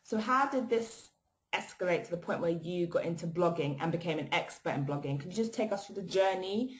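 A woman's voice: lowish voice, animated, fairly quick, low-quality recording. A woman hosting a podcast interview, upbeat and full of praise as she asks her questions. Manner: upbeat, warm praise for guest. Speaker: podcast host.

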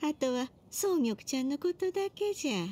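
A woman's voice: sits high, animated, normal speed, mellow. A woman voicing a tender, doting elderly grandmother in a video game, with folksy, soothing old-person speech. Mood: tender, consoling. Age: older. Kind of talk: Japanese video game voice acting.

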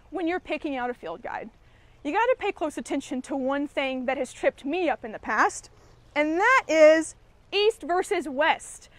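A woman's voice: high-pitched, no room sound. A young woman, a conservation educator giving a friendly, practical outdoor how-to lesson straight to camera. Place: garden yard outdoors.

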